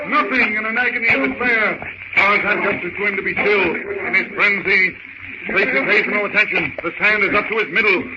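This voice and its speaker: A man's voice: sits high, highs cut off, noisy background. A man narrating a 1930s radio adventure serial in a theatrical, urgent manner with emphatic short phrases, on an old radio recording.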